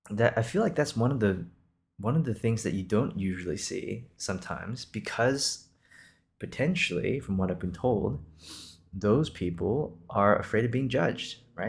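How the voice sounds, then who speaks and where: A man's voice: lowish voice, vocal fry. A man in his twenties from Singapore hosting an improvised podcast, talking casually in a reassuring, encouraging, conversational way. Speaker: podcast host.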